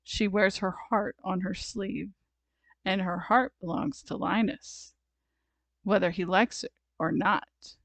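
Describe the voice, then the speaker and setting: A woman narrating a children's storybook aloud, expressive and deliberate in her phrasing. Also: medium pitch, no echo. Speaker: storybook reader.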